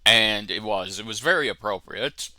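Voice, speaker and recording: Deep, very bright-voiced man chatting enthusiastically. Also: moderate pace, dry recording. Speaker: co-host of a fan review show. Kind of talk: casual review chat.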